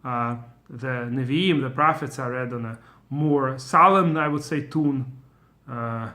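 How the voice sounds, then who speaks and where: A man's voice: medium pitch, a little slow, bright, forceful tone. A middle-aged man with a Russian accent, a Torah teacher giving an informal lesson, thinking aloud with many fillers and restarts.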